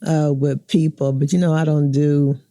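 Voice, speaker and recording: A woman in her sixties chats casually and reflectively as an interview guest. Her voice is deeply mellow and relaxed, with plenty of creak. The sound is dry and close. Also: moderate pace, no room sound. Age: 60s.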